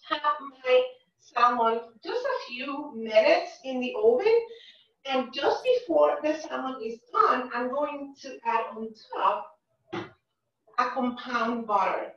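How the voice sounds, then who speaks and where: A woman's voice: high voice, fairly bright tone. A middle-aged woman, a chef giving a live cooking demonstration in a home kitchen and asking "right?" as she explains. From Dominican and Cuban family. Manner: chatty, explains while she cooks, says "right?" often. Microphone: camera across the kitchen. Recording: echoey, distant, dull sound.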